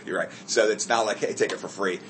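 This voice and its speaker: A man's voice: lowish voice, fast, a bit bright, a little hiss or hum. A man leading a group Bible study discussion, asking the group questions and correcting himself as he goes.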